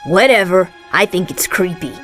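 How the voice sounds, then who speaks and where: A woman's voice: fairly low pitch, lively intonation, moderate pace, fairly bright tone, soft background music. An actress playing a brash, cocky young boy hero in a video game, cheeky and sarcastic. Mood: grumpy, uneasy. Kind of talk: video game cutscene acting.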